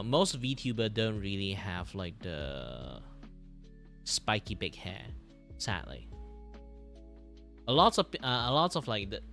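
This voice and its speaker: A man who speaks English as a second language, chatting casually on a livestream in a halting, repetitive way. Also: on the low side, gravelly. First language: not English. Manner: halting, repetitive, animated reactions. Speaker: streamer and animator.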